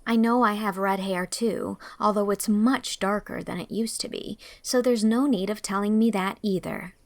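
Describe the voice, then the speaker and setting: Woman playing an earnest, gravelly-edged young woman. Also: medium pitch, moderate pace, no echo, natural voice. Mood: indignant, animated. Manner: earnest, expressive, gently scolding. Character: earnest, dreamy, reproachful red-haired young woman. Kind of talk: audiobook character acting.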